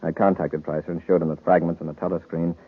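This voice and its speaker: A space commander in a vintage radio serial. His voice is low and husky, with fast, authoritative delivery, heard through a muffled old recording. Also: flat delivery. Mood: brisk, businesslike. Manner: brisk, authoritative, composed. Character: commanding, sharp-witted male space patrol commander. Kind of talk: 1950s radio serial acting.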